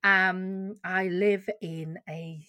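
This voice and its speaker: A woman's voice: on the low side, steady tone, drawn-out words, bright, forceful tone. A woman from Sweden, a guest on a podcast, chatting casually about her business with fillers and frequent laughs. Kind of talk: casual interview chat.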